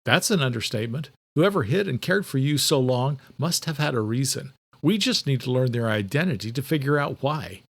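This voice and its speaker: A skeptical male police investigator, acted by a male narrator in an audiobook. He speaks at a medium pitch with a matter-of-fact, probing manner and an air of authority. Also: animated, a little fast, hardly any pauses, fairly bright tone.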